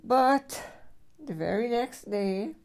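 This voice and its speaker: An older woman reading a children's storybook aloud as a great-grandmother, with expressive storyteller phrasing. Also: a little high, takes it slowly.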